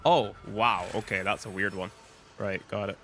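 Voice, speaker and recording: A man's voice: on the low side, steady tone, moderate pace, bright, forceful tone, faint music behind. A man from Northern Ireland with a Northern Irish accent, chatting casually while streaming a game and answering chat.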